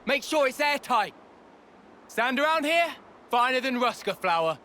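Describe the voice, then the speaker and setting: A man voicing a small, bossy, excitable mechanic who barks orders and exclaims in a video game. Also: very high voice, a little slow, slight background noise, heavily acted. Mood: bossy, urgent. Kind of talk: scripted video game voice acting.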